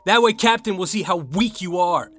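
A man's voice: sits high, normal speed, fairly bright tone, soft background music. An actor playing an earnest, conflicted young college rower in an audio drama, a young adult man with an American accent. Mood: angry. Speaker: lead character and narrator. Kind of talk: audio drama acting with first-person narration.

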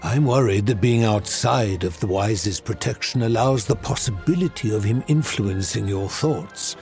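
An actor playing a grave sorcerer mentor in a fantasy audio drama, explaining things earnestly and urgently. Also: male voice, lowish voice, moderate pace, darkish tone, soft background music, natural voice.

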